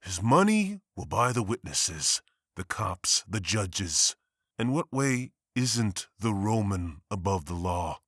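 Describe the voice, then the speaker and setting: A man's voice: lowish voice, big pitch swings, slow pace, a bit bright, no room sound, clean studio sound, heavily acted. A man playing a driven, bitter district attorney who speaks sardonically and heatedly. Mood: sardonic.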